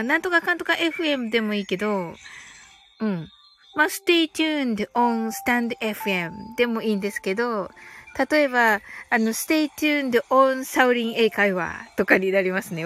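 High-voiced giggly woman, casual livestream. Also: animated, normal speed, fairly bright tone. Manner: giggly, often laughing as she talks. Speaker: livestream host teaching English phrases. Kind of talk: casual chatty livestream, reading listeners' comments.

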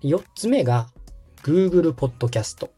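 Mellow, husky podcast tutorial host. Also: mid-range voice, expressive, slow pace, faint music behind. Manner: explanatory, checks the listener's understanding. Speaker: host and radio director giving a tutorial.

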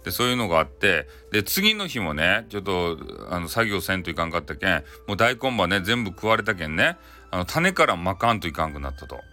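Man, Hakata accent, low bright voice, chatty storytelling, colloquial bedtime folktale. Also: big pitch swings, a little fast, faint music behind. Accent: Hakata, Japan. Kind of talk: casual improvised bedtime folktale narration.

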